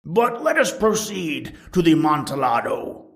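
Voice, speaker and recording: A man's voice: fairly high pitch, sing-song, unhurried, creaky, full character voice. A man playing a proud, tipsy nobleman. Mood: scornful. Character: proud, drunken, coughing nobleman, scornful and stubborn. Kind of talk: gothic horror storytelling.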